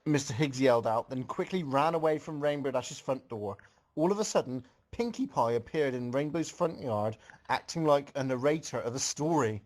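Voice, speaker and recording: A man's voice: mid-range voice, lively intonation, moderate pace, darkish tone. A man reading a fan story aloud in narration, with casual comments of his own.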